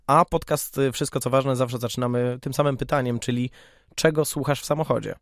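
Male host, medium pitch, conversational interviewer, recorded dry. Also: steady tone, fairly quick, no echo.

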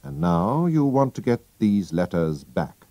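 A man reading a storybook aloud and voicing a sharp, calm male detective who talks in a brisk, sure-of-himself way. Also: lowish voice, dry recording, voice pushed up. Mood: assured.

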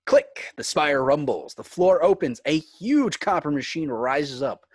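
A man's voice: fairly high pitch, sing-song, measured pace, slightly rough, no room sound. A man working as narrator and host of a fan script reading. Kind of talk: reading screenplay directions, host outro. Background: music.

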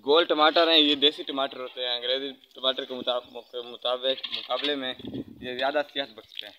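A man's voice: medium pitch, fairly even intonation, fairly quick, pushed and bright, a little hiss or hum, rough recording. A teenage boy proudly explaining the vegetables he grew, talking outdoors in a village garden to a phone camera. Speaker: young gardener showing his crops.

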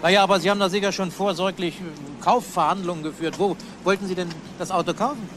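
A male actor plays a police detective with a fairly high voice. He is courteous yet probing and persistent, in crime radio drama style. Clear ambient noise runs behind the dialogue. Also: fast, close to an everyday voice. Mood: insistent, sceptical.

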